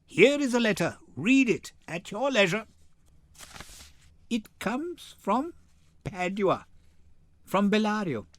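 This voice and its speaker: A man's voice: high-pitched, sing-song, takes it slowly, pauses often, dry recording, pitched above the performer's own voice, full character voice. An older man voicing a witty, commanding young noblewoman in a poised, theatrical Shakespeare reading. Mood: poised, authoritative.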